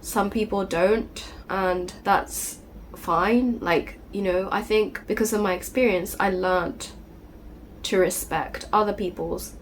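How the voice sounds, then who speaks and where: A woman speaks to her channel in an earnest, gentle way, often adding "you know". She is getting over a cold, so her voice sounds slightly off. Her pitch sits at a middle height for a woman, with a faint hiss behind her. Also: fairly even intonation, slow pace, rough recording. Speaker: channel host. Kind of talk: personal confessional vlog.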